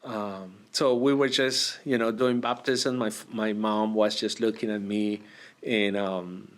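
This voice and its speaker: A man from Argentina, a priest, telling his story in an interview, with many pauses and fillers. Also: fairly low pitch, fairly even intonation. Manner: hesitant, many fillers and restarts. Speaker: priest, guest.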